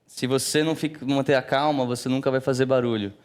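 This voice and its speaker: A man's voice: mid-range voice, fast talker, clean tone. A man in his thirties with a Brazilian accent, a musician talking casually as a TV guest, loose and filler-heavy. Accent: Brazilian Portuguese. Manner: relaxed, many fillers like "né" and "assim". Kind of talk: casual TV interview chat.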